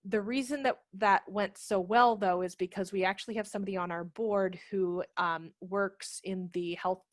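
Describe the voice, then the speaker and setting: A woman in her thirties talks through practical details in an informal, explanatory way. Her pitch is average, and the sound is close and dry, from a headset on a video call. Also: fairly even intonation, normal speed, no echo. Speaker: guest speaker, theatre managing director. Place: room at home. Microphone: headset microphone on a video call.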